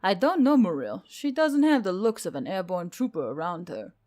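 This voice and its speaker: A woman voicing a skeptical old woman with a measured, doubtful manner. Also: on the low side, sing-song, a little mellow, soft background music. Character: skeptical, bespectacled old woman, sizing up a newcomer.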